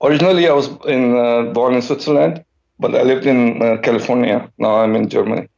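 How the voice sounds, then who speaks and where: A man's voice: mid-range voice, steady tone, fairly quick, creaky, a little mellow. A man calling in to a live debate show, hesitant and full of restarts. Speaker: caller. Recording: muffled phone-in line.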